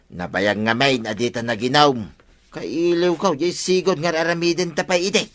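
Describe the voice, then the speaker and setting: A man's voice: a little high, normal speed, a bit bright, close to an everyday voice. An actor with an Ilocano accent playing a cheeky, wheedling, argumentative village man in a radio comedy drama. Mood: coaxing.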